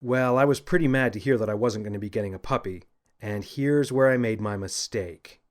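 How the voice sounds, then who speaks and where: A man narrating a scripted children's story in the first person, with an expressive, confiding storyteller manner. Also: on the low side, brisk. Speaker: audiobook narrator and author.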